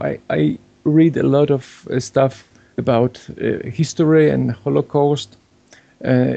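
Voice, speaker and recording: A man's voice: medium pitch, vocal fry, dark tone, no room sound, muffled recording. A man, an English speaker, answering interview questions hesitantly and searching for words. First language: Polish. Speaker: guest blogger. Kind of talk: remote interview.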